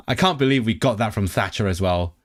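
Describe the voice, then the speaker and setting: A man's voice: on the low side, normal speed. A man chatting live with his viewers, reading quiz questions aloud, translating them and often restarting his sentences. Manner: chatty and animated, reacting to the chat. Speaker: livestreamer. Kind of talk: casual livestream chat with viewers.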